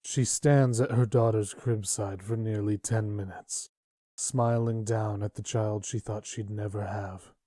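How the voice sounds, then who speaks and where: A man narrating a dark comic dub as a sombre storyteller, in a subdued, measured manner. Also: fairly low pitch, steady tone, dry recording, studio quality.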